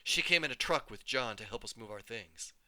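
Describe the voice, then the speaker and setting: A teacher reading a children's book aloud with lively, expressive storytelling. His voice is very bright and clean, with a pitch that rises and falls a lot. The sound is close and dry. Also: brisk, no echo. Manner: animated, voices each character.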